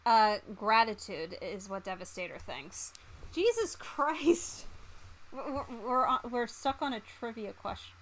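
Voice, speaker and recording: A woman's voice: on the high side, animated, a little slow, a little hiss or hum. A woman doing live game stream commentary, reading on-screen text aloud and breaking into exasperated exclamations. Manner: exclaims loudly in exasperation, mutters while reading. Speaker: VTuber streamer.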